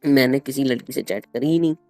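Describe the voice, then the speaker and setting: A man's voice: medium pitch, fast talker, warm tone, no room sound, faint music behind. A man in the role of a husband, arguing casually at home, protesting and often repeating his words. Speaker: husband in a prank video. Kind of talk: casual domestic argument.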